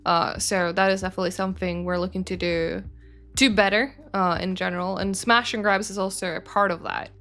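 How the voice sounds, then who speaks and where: A woman's voice: mid-range voice, steady tone, a little fast, fairly bright tone. Chatty woman hosting a game stream. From Sweden. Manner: chatty, rambling, frequent fillers and self-corrections. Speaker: community manager hosting a game studio stream. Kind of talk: casual livestream chat reading viewer questions.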